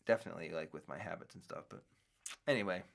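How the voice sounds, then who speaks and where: Middle-aged man, low gravelly host, rambling casual solo talk full of restarts. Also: fast. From United States. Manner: rambling, musing, many restarts and fillers. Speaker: podcast host.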